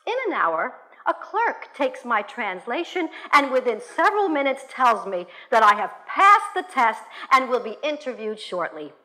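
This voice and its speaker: A woman whose first language is Russian tells a personal story to an audience in English, animated and blunt, swearing freely. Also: a little high, normal speed, low-quality recording.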